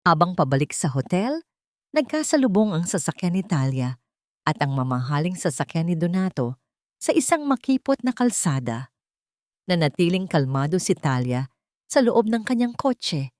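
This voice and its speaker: A woman's voice: fairly low pitch, big pitch swings, moderate pace, darkish tone, no room sound, studio quality. A woman with a Filipino accent reading a scripted dramatic story as an audiobook narrator.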